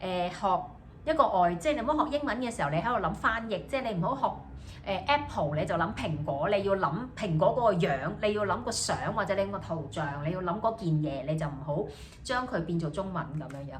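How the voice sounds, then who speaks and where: A young woman from Hong Kong, a native Cantonese speaker, talking casually in a video diary. She speaks with a Hong Kong accent, hesitates often, fills pauses, and repeats each thought in English and then again in Cantonese. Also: fairly low pitch, expressive, a little hiss or hum. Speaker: student.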